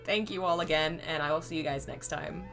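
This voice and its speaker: A hoarse woman reading a novel aloud on her channel, chatty and fond when she talks to viewers. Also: low-pitched, steady tone, brisk, fairly bright tone, faint music behind. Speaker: reader and channel host.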